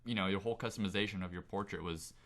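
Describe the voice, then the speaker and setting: Male gaming podcast co-host, somewhat low voice, informal and conversational. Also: racing, fairly bright tone. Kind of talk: casual gaming chat.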